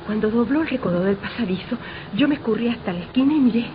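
Actress as high-voiced, suspicious young wife. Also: female voice, animated, quick pace, lightly acted. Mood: secretive, excited. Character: suspicious, insistent young wife sure of a conspiracy. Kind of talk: old radio drama acting. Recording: dull old radio recording, faint noise.

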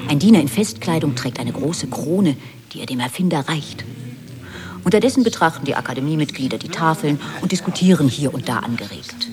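A man's voice: on the high side, lively intonation, fairly quick, a crowd in the background, lightly acted. A man playing a matter-of-fact narrator who reads stage directions in a radio play.

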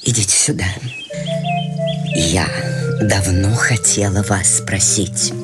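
A woman's voice: rumbling low, slow pace, over loud music. A woman playing a free-spirited, chatty old countess in a radio play, coaxing and playful. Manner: playful, coaxing, sweeping swings of tone. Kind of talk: theatrical radio drama acting.

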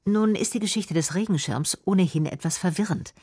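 A woman's voice: fairly low pitch, brisk, mellow, slightly rough, no echo, clean studio sound. A woman reading scripted narration for a radio documentary.

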